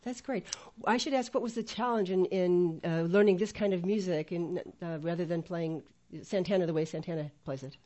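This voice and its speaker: Low-pitched woman hosting, effusive. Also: moderate pace, hardly any pauses, mellow, muffled recording. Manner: enthusiastic, effusive praise. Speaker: radio show host. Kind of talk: live interview hosting.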